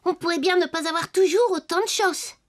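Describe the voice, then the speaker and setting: Very high-pitched little toy boy. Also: fairly quick. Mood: worried. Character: cheerful, resourceful little wooden toy boy. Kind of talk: animated children's cartoon dub. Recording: loud music behind the voice.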